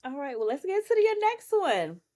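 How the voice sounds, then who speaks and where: Lively woman hosting a casual chat. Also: sits high, moderate pace. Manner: relaxed, slangy, affirming. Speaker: podcast host.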